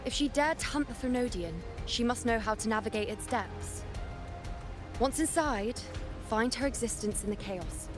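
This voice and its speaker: Actress as composed cryptic female mentor, video game drama, deliberate, instructive, background music. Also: fairly high pitch, close to an everyday voice. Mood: calm, guiding.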